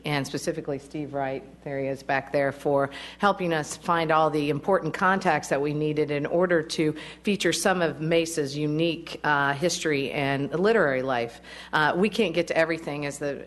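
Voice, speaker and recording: Low-voiced woman addressing a council. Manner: friendly, laughs easily. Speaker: network representative. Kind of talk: public remarks at a meeting. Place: council chamber. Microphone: podium microphone.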